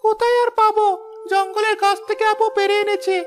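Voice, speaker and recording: A woman's voice: extremely high pitch, little pitch movement, fairly quick. A woman voicing a hungry, plaintive little village boy in a children's cartoon. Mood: eager. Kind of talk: children's moral-story cartoon dubbing. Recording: faint music behind the voice.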